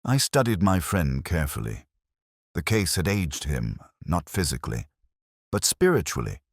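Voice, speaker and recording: A man reading a detective story as a scripted audiobook narration in a measured, solemn, literary manner. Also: rumbling low, big pitch swings, dry recording, clean studio sound.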